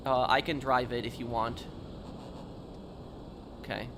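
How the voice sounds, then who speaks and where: A man chatting casually on a gaming livestream, answering viewers in a halting, repetitive, emphatic explanatory way. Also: medium pitch, level tone, noisy background.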